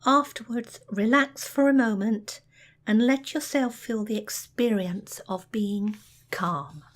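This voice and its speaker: A woman reading a scripted guided relaxation in a soothing, measured, soft delivery with pauses. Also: a little high. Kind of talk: scripted guided meditation narration.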